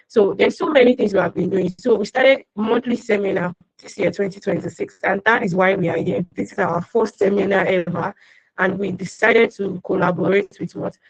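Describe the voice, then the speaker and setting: A woman's voice: mid-range voice, steady tone, brisk, slightly rough, no room sound. A woman hosting an online class over a video call, chatty and welcoming, greeting attendees by name. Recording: muffled dry video call audio.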